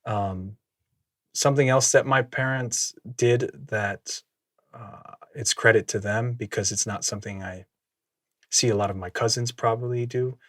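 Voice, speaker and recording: A man whose first language is Samoan, telling his story as a podcast guest in a reflective, measured way, often laughing. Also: mid-range voice, fairly even intonation, fairly bright tone, dry recording. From Minnesota, USA. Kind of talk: casual conversational storytelling.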